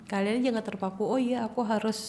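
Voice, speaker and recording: A woman's voice: a little high, fairly even intonation, fast talker, darkish tone, slight background noise. A young woman, a civil engineer guesting on a podcast, chatty and encouraging with easy laughter. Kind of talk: casual advice chat.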